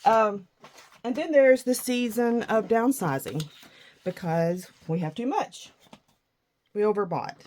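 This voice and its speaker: A middle-aged woman with a husky morning voice, chatting casually and self-deprecatingly about her crafting supplies. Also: mid-range voice, lively intonation, takes it slowly, pauses often, darkish tone. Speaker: scrapbooking vlogger.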